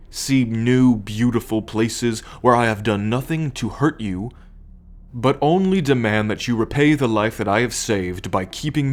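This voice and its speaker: A man playing a proud, possessive alien starship captain in an ASMR roleplay, speaking in a measured, formal, commanding way to the listener. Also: mid-range voice, lightly acted. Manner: measured, imperious, formal lecturing.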